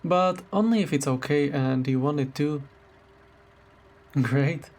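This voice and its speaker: A man playing a tender, caring boyfriend in a romantic roleplay audio drama, speaking gently and affectionately. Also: on the high side, slow pace. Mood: affectionate, relaxed. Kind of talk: romantic roleplay audio drama, intimate one-sided dialogue.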